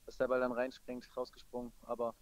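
A man speaking as a football coach in a post-match interview, matter-of-fact and measured. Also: mid-range voice, monotone, no echo.

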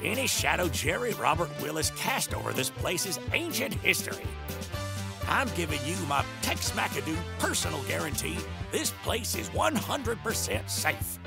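A man's voice: sits high, sing-song, normal speed, vocal fry, music in the background, exaggerated voice. A man voicing a folksy, boastful cowboy restaurant owner with a Southern US accent, announcing like a hammy showman in a comic dub. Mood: defensive, reassuring.

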